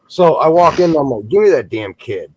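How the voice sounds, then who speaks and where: A man's voice: fairly high pitch, very animated, normal speed, a little hiss or hum, rough recording. A man chatting casually on a live stream, telling laid-back stories and swearing casually. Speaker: stream co-host.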